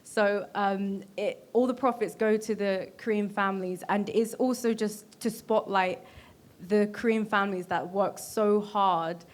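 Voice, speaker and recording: A woman's voice: medium pitch, steady tone, measured pace. A woman with a British accent, speaking as a panelist in an earnest, explanatory and reflective way. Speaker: panelist, Korean cultural ambassador.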